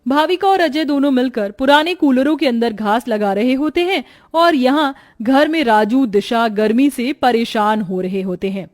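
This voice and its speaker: A woman's voice: high voice, moderate pace, hardly any pauses, faint music behind. A woman reading scripted narration for a children's moral story.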